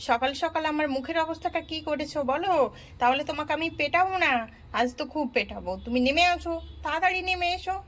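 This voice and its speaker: A woman's voice: sits high, fast talker, a bit bright. A woman voicing an angry mother who scolds and threatens her naughty daughter. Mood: scolding.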